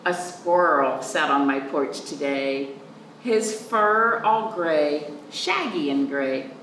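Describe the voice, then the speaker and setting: A woman reading aloud to young children in an expressive, sing-song way, drawing out words and speaking simply and clearly for them. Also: deep voice, slow pace. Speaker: children's librarian, storytime reader. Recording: roomy echo, distant mic, faint noise.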